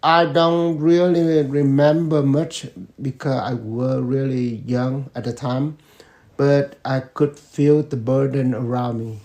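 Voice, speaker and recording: A man, answering family interview questions in slow, measured phrases with pauses. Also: medium pitch, fairly even intonation, darkish tone. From Vietnam. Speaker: interviewee, father.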